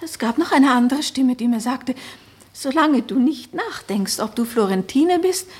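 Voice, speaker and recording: A woman's voice: sits high, animated, a little slow, darkish tone, breathy edge, heavily acted. A woman acting a troubled, pleading married noblewoman in a German radio play, speaking in earnest, solemn, poetic phrases. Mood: troubled reflection.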